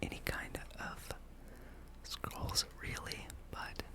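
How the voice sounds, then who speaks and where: Soft whispered gaming ASMR ramble. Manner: unhurried, casual musing.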